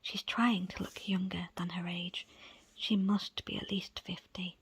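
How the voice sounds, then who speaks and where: Woman doing asmr book reading, really breathy whisper, slow, close dry mic. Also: on the low side, level tone, no room sound. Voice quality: soft, breathy, unvoiced whisper. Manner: slow, deliberate, pausing between short phrases. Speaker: audiobook reader. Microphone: close, dry microphone.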